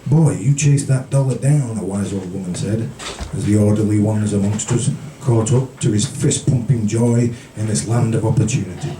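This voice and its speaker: Male poet for live café reading: dark, mellow tone, literary and measured, heard from across an echoey room. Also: lowish voice. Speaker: featured poet. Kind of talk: live poetry reading with brief casual asides. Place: small indoor café poetry event. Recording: roomy, distant, noisy live recording.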